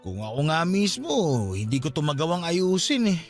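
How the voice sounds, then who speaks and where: A Filipino actor in a radio drama playing a drunk, self-pitying young boyfriend who feels neglected, with groggy delivery. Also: male voice, fairly high pitch, very animated, moderate pace. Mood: bitter, hurt. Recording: music behind.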